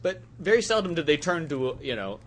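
A man on a podcast panel discussing comics casually, animated and given to joking. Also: on the high side, a little fast.

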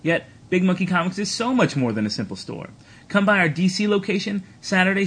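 A man's voice: a little high, fairly bright tone, breathy edge. A man hosting a podcast, speaking in a presenter's style to listeners. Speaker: podcast host. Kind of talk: scripted promo reading and casual panel banter.

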